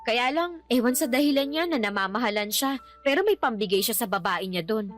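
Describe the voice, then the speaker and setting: An actress with a Filipino accent playing a resentful, outspoken grown daughter in a radio drama, exasperated and complaining. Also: female voice, on the high side, lively intonation, a little fast, a bit bright, soft background music.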